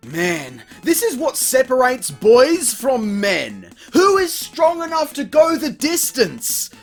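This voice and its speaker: A man's voice: very high-pitched, huge pitch swings, takes it slowly, soft background music. A man giving comedic, partly scripted video review commentary in an exclamatory, sarcastic, crude way. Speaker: video game reviewer, host.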